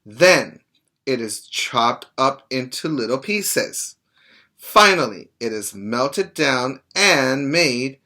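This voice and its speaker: A middle-aged man reads aloud to young children as their teacher. His voice is bright and forceful, and his pace is slow. He is deliberate and instructive, speaking as if to make each point clear to a small child. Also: medium pitch. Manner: deliberate, didactic, stopping to explain and check understanding. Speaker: preschool teacher. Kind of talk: read-aloud to young children with plain explanations. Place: small indoor room at home.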